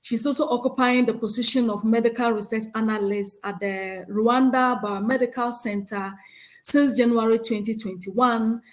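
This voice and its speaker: A woman with a Ghanaian accent chairs a conference session and reads out a speaker's biography, with frequent hesitant pauses. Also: a little high. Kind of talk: conference moderation, reading a speaker bio.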